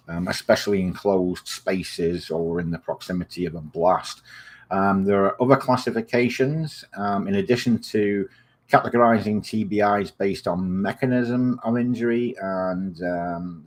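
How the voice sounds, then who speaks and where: Low-voiced English host with a partly American accent, chatty and digressive, plenty of filler words. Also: male voice. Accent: English, softened by years in the US. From England. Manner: rambling and digressive, many ums and uhs; friendly sign-off. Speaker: video series host. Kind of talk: informal spoken health explainer.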